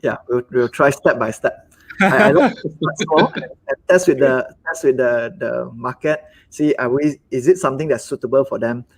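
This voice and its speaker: A husky-voiced man with a Malaysian English accent talks about his business in a live online chat. He speaks haltingly, repeats words and acts out little quotes along the way. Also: mid-range voice, rough recording. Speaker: business owner guest. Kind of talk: live webinar conversation.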